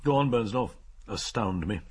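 An actor playing a dry, sardonic police inspector who asks blunt questions in a radio drama. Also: male voice, lowish voice, lively intonation, normal speed, breathy edge. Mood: dry, questioning.

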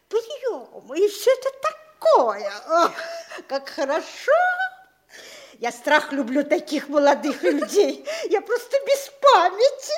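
An actress playing a vain, coquettish middle-aged provincial mayor's wife in a classical comedy, gushing, affected and haughty. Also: female voice, high voice. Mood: gushing, smitten. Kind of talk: Russian classical stage comedy radio acting.

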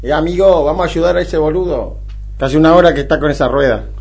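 A man playing a scrappy, friendly Argentine street windscreen washer in a radio comedy-drama, pleading and familiar in a husky voice. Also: fairly high pitch, fast talker, darkish tone. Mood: helpful, friendly. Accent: Argentine (Rioplatense).

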